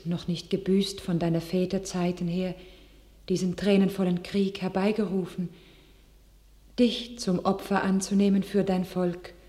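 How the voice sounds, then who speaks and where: A woman's voice: fairly low pitch, warm tone. A woman acting a devout, visionary young shepherdess in a classical radio play, declaiming verse solemnly and resolutely. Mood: solemn, prophetic.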